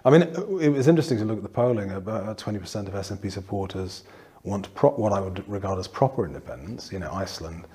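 A man from northeast England hosts a political conversation in a somewhat low voice. He circles his questions with restarts, fillers and lively asides before landing them. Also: quick pace. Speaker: interviewer. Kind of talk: political discussion.

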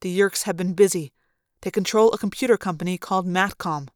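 A woman voicing a calm, gentle ancient male android who speaks softly and earnestly. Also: fairly low pitch, no echo, studio quality, natural voice. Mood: quiet. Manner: soft, measured, earnest.

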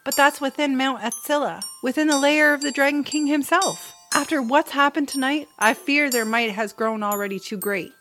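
A woman's voice: sits high, moderate pace, hardly any pauses, bright, forceful tone. A woman playing a solemn, wary fantasy heroine in an audio drama, speaking in an earnest, formal manner. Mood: solemn, doubtful.

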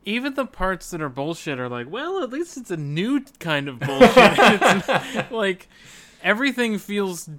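A man's voice: high-pitched, very animated, a little slow, a crowd in the background. A man co-hosting a podcast, chatting casually about video games in a wry, joking and sometimes sweary manner. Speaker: podcast co-host. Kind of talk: casual video game discussion.

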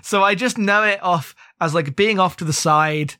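A man's voice: high-pitched, moderate pace, pushed and bright, clean tone, no room sound, clean studio sound. A man in his thirties co-hosting a podcast, chatting casually about books in a rambling, wry way. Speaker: podcast co-host. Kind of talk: casual book discussion.

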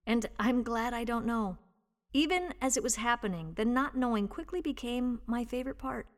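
Female reader for audio narration: higher-pitched voice, measured and expressive storytelling delivery. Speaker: volunteer reader and host of an audio reading service. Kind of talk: reading a personal essay aloud, scripted narration.

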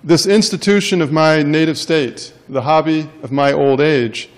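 A male professor giving a lecture in a classroom, loud and wordy by his own account. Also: on the high side, moderate pace. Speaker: professor and center director. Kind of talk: university lecture. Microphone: handheld mic.

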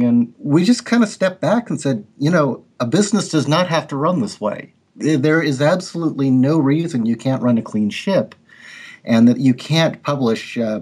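A man, a writer and artist, speaking in a measured, critical way on a podcast panel, with fillers. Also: mid-range voice, darkish tone, husky.

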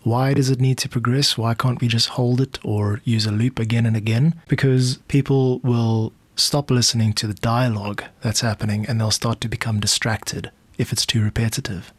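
A man's voice: medium pitch, flat delivery, normal speed, hardly any pauses, slightly rough, no room sound. South African man, dry podcast narration. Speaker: podcast host, music composer and producer.

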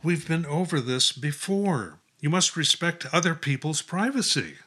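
Tired strict priest headmaster, curt, sharp forceful voice, audio play. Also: male voice, fairly high pitch, lively intonation, measured pace, breathy edge, exaggerated voice. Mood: exasperated. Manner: curt, exasperated, admonishing. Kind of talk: audio drama acting.

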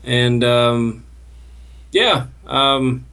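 A man's voice: mid-range voice, extremely slow, bright, forceful tone, clear, resonant tone. A man in a casual podcast chat, speaking in a hesitant, understated way. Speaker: podcast co-host.

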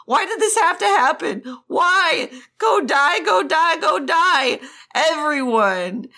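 A woman's voice: mid-range voice, big pitch swings, unhurried, pushed and bright, heavily acted. A woman voicing a proud, bitter teenage boy maddened by grief, hurling angry accusing questions as she reads a novel's dialogue. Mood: furious grief. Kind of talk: dramatic reading of a novel's dialogue.

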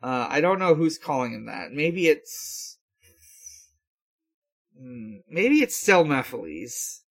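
A man's voice: mid-range voice, very animated, slow. A man in his twenties in casual podcast chat, hesitant and thinking aloud as he goes. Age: 20s. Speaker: podcast co-host.

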